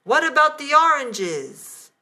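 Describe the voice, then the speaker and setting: A woman's voice: medium pitch, animated, slow pace, pushed and bright. A woman reading the lines of a grumpy, selfish husband in a learners' story, bossy and scornful. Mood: demanding. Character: grumpy, selfish middle-aged husband, demanding.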